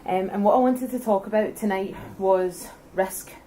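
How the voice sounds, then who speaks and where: A young Scottish woman giving an earnest, forceful speech at a public debate, building her case point by point. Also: medium pitch, normal speed, slight background noise, rough recording. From Scotland. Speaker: debate speaker for independence.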